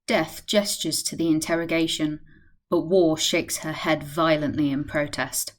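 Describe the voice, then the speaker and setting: A woman's voice: deep voice, fairly even intonation, a little slow. A woman reading scripted scene narration as the narrator of a radio play.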